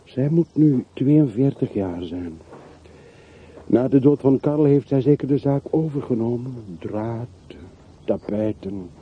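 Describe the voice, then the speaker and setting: A man's voice: mid-range voice, slow, slightly rough. An actor playing a bitter, world-weary middle-aged man in a radio play, speaking tersely and broodingly on an old recording. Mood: bitter, detached. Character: bitter, world-weary man revisiting his hometown's dead. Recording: muffled, phone-like, noisy old radio recording.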